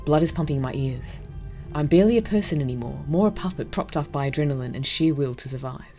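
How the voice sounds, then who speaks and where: A woman's voice: sits low, lively intonation, fast, dark tone, faint music behind. A woman hosting a gaming video, casually commenting and reading the story text aloud. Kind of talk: casual game commentary and read-aloud narration.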